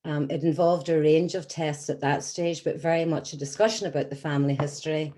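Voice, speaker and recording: An Irish woman in her sixties, a patient advocate telling her personal story to an audience in an earnest, measured way with frequent ums. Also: deep voice, fairly even intonation, warm tone. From Scotland, then Ireland. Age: 60s. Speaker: patient advocate giving a talk.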